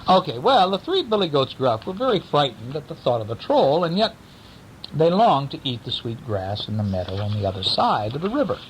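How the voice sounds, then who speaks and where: A man's voice: big pitch swings, brisk, rough recording. A man, a father, telling a bedtime story to a child in a playful, theatrical, teasing way, at home. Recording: home recording with rumble and background noise.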